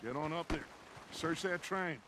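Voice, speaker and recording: An actor playing a commanding, theatrical outlaw gang leader in a western video game. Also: male voice, on the high side, unhurried, lightly acted. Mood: firm, calculating. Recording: game audio under loud effects noise.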